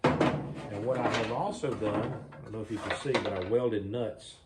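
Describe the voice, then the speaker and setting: A man, casually talking through his own jeep restoration in a workshop vlog, folksy and rambling, a little raspy this morning. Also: lowish voice, fairly even intonation, moderate pace, heavy background noise. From Mississippi. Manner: folksy, rambling, thinking aloud.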